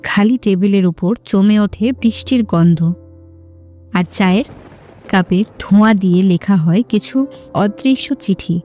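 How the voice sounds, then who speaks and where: A woman narrates an audio story with a dark, mellow voice at an average pitch. Her delivery is poetic and measured, set over clear background music.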